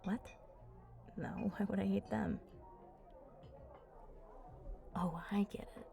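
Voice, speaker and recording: Flirty, gravelly-soft vampire played by a woman. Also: mid-range voice, steady tone, a little slow, faint music behind. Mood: teasing. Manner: soft, flirtatious, playful, often dropping into breathy murmurs. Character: flirty, teasing, confident female vampire at a bar. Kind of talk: ASMR roleplay, intimate one-sided chat.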